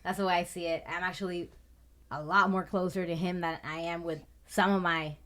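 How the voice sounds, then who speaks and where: A thirty-year-old woman from Puerto Rico, a guest in a casual interview, loud and talkative. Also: on the low side, fairly even intonation, brisk, slight background noise. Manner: loud, talkative, swears and laughs often.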